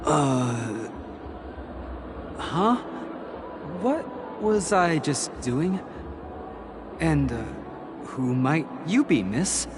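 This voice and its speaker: A man's voice: fairly high pitch, very animated, very slow, pauses often, heavily acted. An actor voicing a puzzled, doubtful male hotel guest in a video game. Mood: dazed. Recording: game audio with music.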